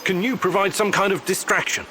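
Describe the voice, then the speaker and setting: A man's voice: high voice, fairly quick, sharp tone, a little hiss or hum, rough recording. A male actor playing a tense, determined man on a sabotage mission in a video game, speaking tersely and seriously. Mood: urgent. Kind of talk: dramatic video game voice acting.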